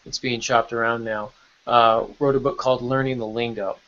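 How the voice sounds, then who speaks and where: A man hosts a casual podcast with an enthusiastic, encouraging manner. His voice sits at an ordinary male pitch, and the sound is a bit dull and lo-fi. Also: little pitch movement, normal speed, fairly bright tone. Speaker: podcast host.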